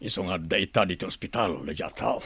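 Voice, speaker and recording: An actor playing a grave, resolute governor in a radio drama, speaking in a serious, measured way. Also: male voice, deep voice, fairly bright tone, breathy edge, no echo, heavily acted. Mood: grave, determined.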